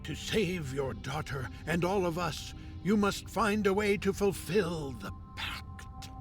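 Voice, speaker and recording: A man's voice: sits high, slow, over music. A man playing a solemn, cryptic helper who gives guarded hints in a video game. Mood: grave, cryptic. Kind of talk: video game cutscene acting.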